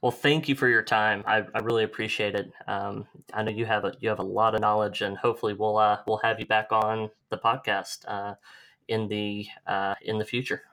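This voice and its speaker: A man's voice: on the low side, little pitch movement, a little fast, pushed and bright. Man, podcast host, easygoing, laughs a lot, casual chat. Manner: easygoing, laughs often, fillers and restarts.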